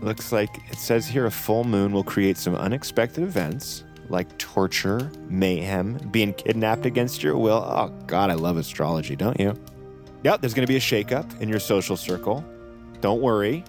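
An actor playing a cocky captive in a psychiatric facility, flippant and rambling, as in an immersive audio drama. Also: male voice, lowish voice, animated, moderate pace. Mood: flippant, chatty. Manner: flippant, rambling, muttering, self-interrupting. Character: cocky, wisecracking male psychiatric captive addressing the listener. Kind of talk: immersive audio drama acting, conversational asides. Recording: music behind the voice.

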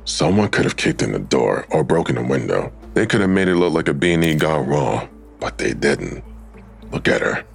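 An actor playing a terse, brooding police detective who questions everything in an audio drama, with a gravelly voice. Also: male voice, a bass voice, big pitch swings, moderate pace, soft background music, exaggerated voice. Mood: brooding, intent.

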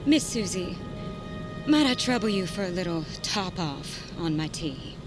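A woman's voice: on the low side, lively intonation, a little slow, music in the background. An actress playing a composed, courteous young lady in a historical audio drama. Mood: sad, composed. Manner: genteel, courteous.

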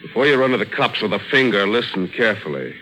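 A menacing racketeer from a 1940s radio crime show. His voice is low with a forceful, tense edge. He delivers slangy, measured threats on a vintage broadcast recording. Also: expressive, poor audio. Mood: cold, boastful. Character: menacing, smooth-talking male racketeer.

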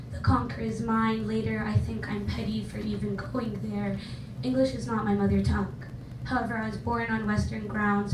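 A young woman from Jordan with an American accent performs spoken word poetry, a native Arabic speaker pressing each line with rhythmic emphasis. Her voice sits a little high and is heard from a distance. The room is echoey and there is a noisy hum in the background. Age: 20s. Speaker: poet at open mic. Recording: roomy, distant, noisy venue.

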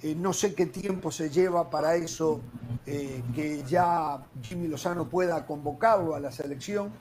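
A man hosting a sports talk panel, animated and leaning on "eh" fillers as he leads a heated debate. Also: a little high, a little slow, a little hiss or hum. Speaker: sports talk show host.

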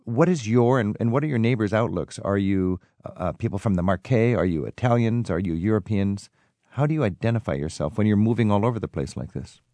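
Man, radio host, lowish voice, inquisitive, dry close studio sound. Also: animated, fairly quick, mellow, dry recording. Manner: inquisitive, leading questions, friendly. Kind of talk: radio interview.

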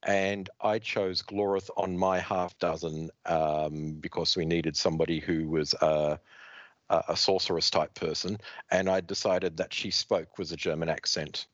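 A man's voice: deep voice, little pitch movement, a little slow, fairly bright tone, no echo. A man hosting a podcast that recaps comic books. He reads the story aloud expressively and acts out the dialogue. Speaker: podcast host. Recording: dry, slightly dull lo-fi sound.

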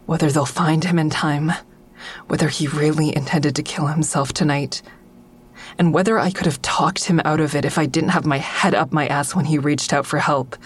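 A woman's voice: sits low, fairly even intonation, normal speed, husky. An actress playing a guarded, agitated, sardonic woman who narrates and speaks in an audio drama. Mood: tense, brooding. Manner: sardonic, exasperated. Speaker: narrator and lead character. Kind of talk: first-person audio drama narration and dialogue.

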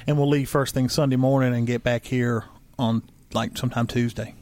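A man's voice: mid-range voice, fairly even intonation, moderate pace, a little mellow. A man co-hosting a casual podcast chat with friends, rambling and restarting his sentences as he plans aloud. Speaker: podcast co-host.

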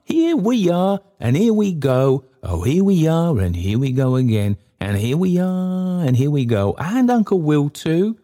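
A man's voice: lowish voice, normal speed. A man doing an overjoyed old father. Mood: jubilant. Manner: excited, exclaiming.

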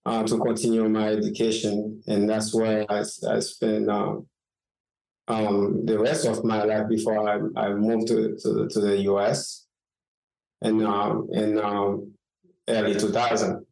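A middle-aged man from Haiti, a journalist answering interview questions, talking haltingly with many fillers and restarts over a remote connection. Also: on the low side, completely flat delivery, gravelly, a little mellow. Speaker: guest journalist and author. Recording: echoey remote call audio.

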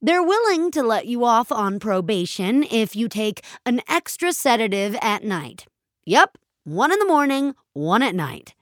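A woman's voice: on the high side, sing-song, measured pace, no echo, clean studio sound. A woman voicing a weary male public defender, officious and coaxing, in an audio fiction story.

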